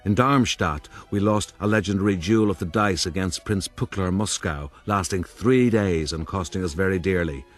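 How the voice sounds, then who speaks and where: Proud old-world adventurer telling his own tale for radio. The voice is deep and measured, with dry, pompous boastfulness. Soft music plays underneath. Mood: boastful, wry. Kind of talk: dramatized scripted narration.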